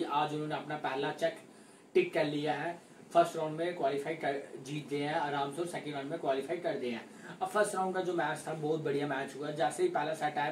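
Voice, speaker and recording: A man's voice: fairly high pitch, slight background noise. A young man from India giving a casual post-match tennis breakdown as a sports vlogger, gesturing as he walks through each score. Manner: animated hand gestures, repeats score calls. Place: small indoor room at home. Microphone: camera at mid distance. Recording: roomy, slightly distant home mic.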